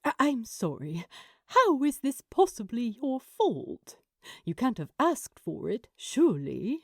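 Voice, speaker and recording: Courteous, apologetic male angel for audio fiction , played by a woman: slightly high, lively melody, hesitant and fussy. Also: slow, gravelly, breathy edge, no echo, studio quality, heavily acted. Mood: puzzled. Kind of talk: multi-voice fan fiction audio drama.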